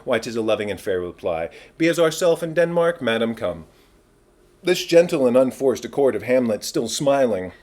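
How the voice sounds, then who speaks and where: A man's voice: medium pitch, darkish tone. Man acting a stately, commanding Shakespearean king. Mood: triumphant. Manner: declamatory, stately. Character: commanding middle-aged king, formal, smoothly authoritative. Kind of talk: dramatic Shakespeare reading.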